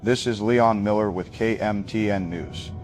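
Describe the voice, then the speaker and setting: An actor playing a serious local news anchor, formal and polite in a breaking news broadcast drama. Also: male voice, on the low side, unhurried, music in the background, natural voice. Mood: sober, formal.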